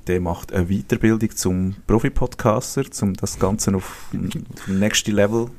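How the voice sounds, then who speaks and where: A man hosting a casual podcast in Swiss German, joking and relaxed in his banter. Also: fairly low pitch, moderate pace, slight background noise. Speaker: podcast host. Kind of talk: casual chat.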